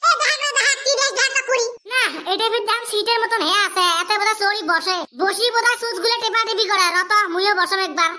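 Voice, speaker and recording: A man voicing an excitable, squabbling village character in a comedy cartoon, with a squeaky pitch-raised voice and a rural northern Bengali dialect. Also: fairly quick, heavy background noise. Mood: impatient, bossy. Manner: exclaiming, bickering, repeating phrases.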